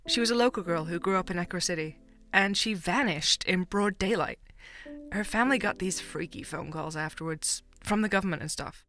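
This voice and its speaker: A woman's voice: on the low side, fairly quick, a bit bright, faint music behind. A woman playing an eager, playful podcast co-host who loves true crime, bantering with her partner. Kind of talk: fiction podcast drama.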